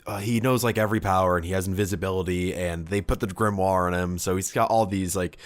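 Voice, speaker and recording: A man with a very low voice hosts a casual, conversational podcast. He recounts things in a wry, self-deprecating way and swears freely. The sound is dry, with no room echo. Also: steady tone, fast. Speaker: podcast host. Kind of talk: casual chat recounting tabletop wargame battles.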